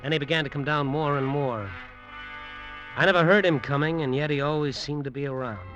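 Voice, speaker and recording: An actor playing a brash, cocky, short-tempered hotel developer in slangy, emphatic old-time radio drama style. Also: male voice, fairly high pitch, fairly quick, fairly bright tone, natural voice, rough recording. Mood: hard-bitten narrating. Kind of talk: old-time radio drama acting with first-person narration. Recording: vintage radio drama, music behind.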